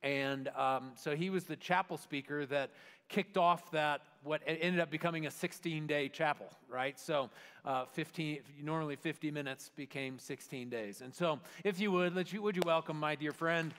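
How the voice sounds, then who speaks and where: A man pastor with a somewhat high voice greets his church, speaking loosely with many pauses and restarts. His voice carries long reverb from the sanctuary. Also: fairly bright tone, clear, resonant tone. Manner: casual, many fillers and restarts. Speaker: lead pastor. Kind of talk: welcome and interview host. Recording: hall reverb.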